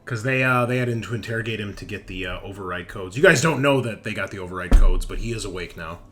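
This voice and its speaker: A man narrating casually as game master of a tabletop game, haltingly, as if thinking aloud. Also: fairly low pitch, music in the background.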